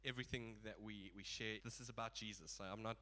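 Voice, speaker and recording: A man's voice: fairly low pitch, steady tone, fast talker, pushed and bright. A man preaching a sermon in a casual, chuckling way, his voice nasal from a blocked nose.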